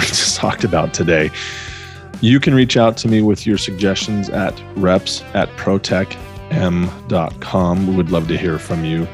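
A man hosting a podcast interview in a conversational way, with a husky voice. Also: low voice.

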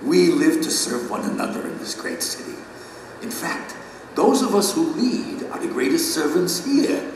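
A distant, echoing voice fills a great hall with faint noise. It is a reverent male guide in an audio drama, gentle and formal, measured in every phrase. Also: a little high, sing-song. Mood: earnest gratitude.

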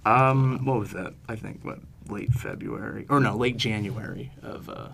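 A man chatting informally as a musician guest in a podcast interview, with a hesitant, halting delivery. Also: on the low side, gravelly, background noise. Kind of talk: casual podcast interview chat.

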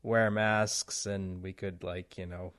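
A man's voice: low voice, flat delivery, slow pace, a bit bright. A man interviewing a guest in a casual chat, telling stories and laughing as he talks. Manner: storytelling, laughs while talking.

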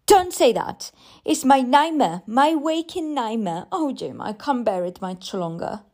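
An anxious young mother with fraying nerves acted by a woman narrator in a storybook. She sounds tense and emotional, her pitch lifted and very changeable. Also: very animated, a little slow, darkish tone, full character voice. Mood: distraught.

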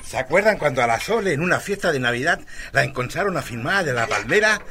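An actor playing a grown son cheerfully reminiscing in casual Chilean-accented chatter in a radio drama. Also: male voice, on the high side, lively intonation, fast talker, noisy background. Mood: amused, nostalgic. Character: grown son gleefully recalling childhood mischief.

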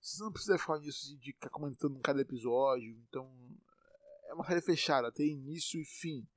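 A man's voice: medium pitch, fast, no echo. Bahian man, twenties, loose rambling podcast monologue, profane and self-mocking, dry close sound. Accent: Bahian Brazilian Portuguese. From Salvador, Brazil. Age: 20s. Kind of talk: casual monologue.

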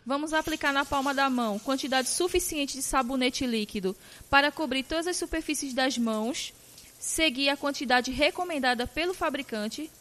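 A woman playing a nursing professor in an amateur audio drama, speaking didactically and deliberately. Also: sits high, fairly bright tone. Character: woman nursing professor, calmly instructive with her students. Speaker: teacher. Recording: long reverberant hall echo.

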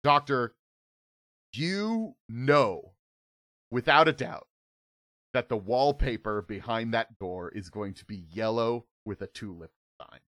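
A hoarse man narrating a tabletop horror game as game master, in a relaxed, conversational way. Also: mid-range voice, slow pace, no room sound. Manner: relaxed, conversational, filler-heavy.

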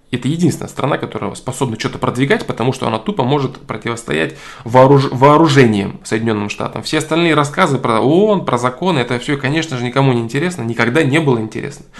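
Emphatic man with halting fillers. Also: medium pitch. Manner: emphatic, repeats key phrases, frequent hesitation fillers. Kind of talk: opinionated political monologue.

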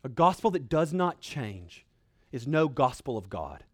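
A man preaching a sermon in an earnest, exhorting manner, emphatic, with dramatic pauses and repeated phrases. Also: mid-range voice, sing-song, no echo, clean studio sound.

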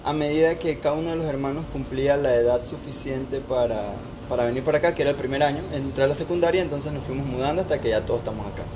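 A man's voice: medium pitch, quick pace, hardly any pauses, background noise. A man, chatting casually and telling personal stories as an interview guest. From Panama, born in Costa Rica. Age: 20s. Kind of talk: casual conversation, personal storytelling.

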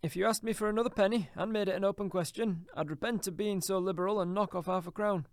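A man's voice: high-pitched, steady tone, fast talker, no echo, studio quality, voice pushed up. A man voicing a sly old rag-and-bone dealer who haggles in a wheedling tone. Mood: haggling.

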